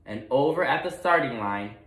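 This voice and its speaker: A man's voice: medium pitch, animated, normal speed, fairly bright tone. A man narrating a children's picture book expressively as a read-aloud storyteller, in a roomy recording.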